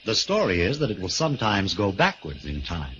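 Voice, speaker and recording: Affable, lively gentleman. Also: low voice, a little fast. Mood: breezy. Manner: affable, breezy. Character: genial, unconcerned master of an eerie house. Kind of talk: 1940s radio mystery acting. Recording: dull old radio recording, faint noise.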